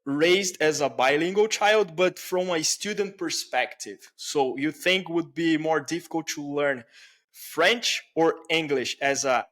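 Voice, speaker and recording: A man's voice: a little high, unhurried, fairly bright tone. A man hosting a casual podcast interview, upbeat and chatty with his listeners. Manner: upbeat, addresses listeners as guys. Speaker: podcast host. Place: home in Canada.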